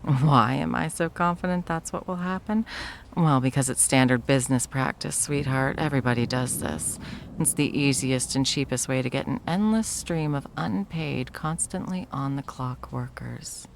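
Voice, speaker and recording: Woman acting a low, creaky-voiced possessive corporate ex-girlfriend. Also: a little hiss or hum. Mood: smug, condescending. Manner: taunting, drawn-out endearments, dropping into breathy whispers. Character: possessive, wealthy corporate ex-girlfriend, coldly menacing and cloyingly sweet. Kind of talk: intimate ASMR roleplay, dramatic monologue.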